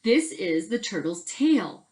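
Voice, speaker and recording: A woman's voice: mid-range voice, very animated, slow, a little mellow. A woman voicing an eager, caring young male muskrat in a storybook, speaking in an emphatic, exclaiming way. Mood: bossy, instructing.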